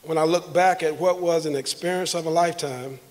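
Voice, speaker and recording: A man in his fifties from South Carolina gives a prepared acceptance speech as a hall of fame inductee. He is hoarse from losing his voice and keeps clearing his throat. He speaks formally and with gratitude at a podium in a banquet hall. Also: a little high, steady tone, brisk, a little mellow. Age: 50s. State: hoarse, has lost his voice. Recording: long reverb of a large hall.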